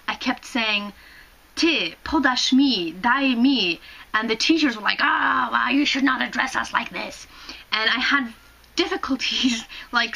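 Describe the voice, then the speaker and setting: A young woman from Poland whose first language is Polish, telling childhood stories to the camera in English with a Canadian-tinged accent and an animated, gesturing manner, often restarting her sentences. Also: on the high side, rough recording. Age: young adult. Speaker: vlogger. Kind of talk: casual storytelling to camera. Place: small room indoors, sitting on a bed.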